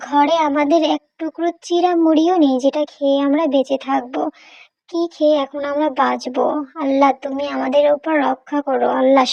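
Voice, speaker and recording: Cartoon role: poor village wife played by a woman, very high, wailing, fearful, dry sound. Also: fairly even intonation, fairly quick, vocal fry, mellow, dry recording. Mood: anguished. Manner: wailing, lamenting. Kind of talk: melodramatic cartoon dialogue. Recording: dull lo-fi cartoon audio.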